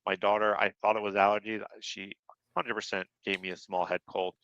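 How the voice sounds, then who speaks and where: Podcast guest, male writer, low and bright, a bit nasal from a cold, easygoing. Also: flat delivery, a little fast, dry recording, highs cut off. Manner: easygoing, enthusiastic, laughs often. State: slightly nasal from a head cold. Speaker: comic book writer guest. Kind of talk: casual podcast interview.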